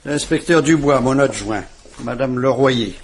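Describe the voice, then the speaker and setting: An actor playing a calm, kindly police commissioner in a vintage radio drama, speaking in a measured, courteous and reassuring way. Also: male voice, mid-range voice, a little hiss or hum, close to an everyday voice. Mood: brisk, kindly.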